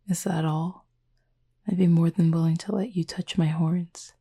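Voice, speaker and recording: A woman playing a gentle, composed horned cupid roommate in an intimate roleplay audio, soft-spoken, measured and formally worded, tenderly teasing and reassuring. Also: lowish voice, fairly even intonation, heavily acted. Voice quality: soft, breathy, husky.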